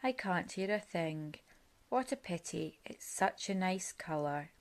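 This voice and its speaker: Storybook badger, played by a woman reader: grumpy but good-hearted male animal, blunt and emphatic, unhurried. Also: fairly low pitch, animated. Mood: disappointed. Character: grumpy male badger who turns kindly.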